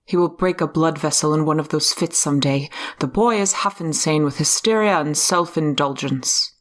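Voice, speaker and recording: A woman's voice: low voice, normal speed, lightly acted. A woman playing an irritable, stern male doctor in an audio drama.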